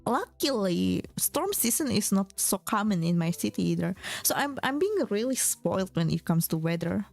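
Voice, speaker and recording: A young woman streamer chatting casually with her viewers, often restarting her sentences and filling pauses with "like". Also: medium pitch, big pitch swings, gravelly, a little mellow. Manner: chatty, many fillers and restarts, reads chat aloud. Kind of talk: casual chat with chat readers. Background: faint background music.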